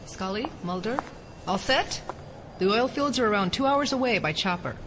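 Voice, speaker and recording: Woman acting a brisk, authoritative FBI boss. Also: mid-range voice, lively intonation, fairly bright tone, slight background noise, close to an everyday voice. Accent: American. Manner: brisk, businesslike. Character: brisk, authoritative female FBI assistant director. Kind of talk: audio drama acting.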